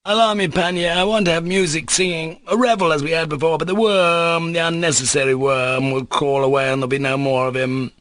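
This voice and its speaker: A man reading an audiobook voices a wild, overwrought young man who exclaims and pleads, sometimes drawing words out slowly. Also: on the high side, fairly even intonation, bright, forceful tone, dry recording, pitched above the performer's own voice, heavily acted. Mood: exclaiming. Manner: frantic, exclaiming, slowed pleading stretches.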